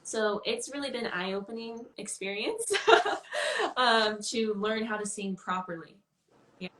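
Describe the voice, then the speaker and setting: A woman with a medium-pitched voice answers as a guest in a casual interview. She speaks informally and a little hesitantly, thinking aloud. The sound is a livestream call with clear room echo. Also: lively intonation, measured pace, fairly bright tone. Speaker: guest musician being interviewed. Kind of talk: casual chat. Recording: roomy, echoing livestream call.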